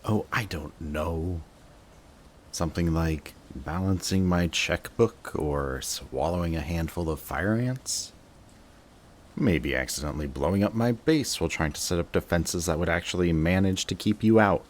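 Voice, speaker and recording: A man's voice: low voice, unhurried, slight background noise. A man playing a sarcastic, jaded supervillain in a scripted roleplay audio drama, with a dry, taunting way of talking to an old rival. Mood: sarcastic, dismissive.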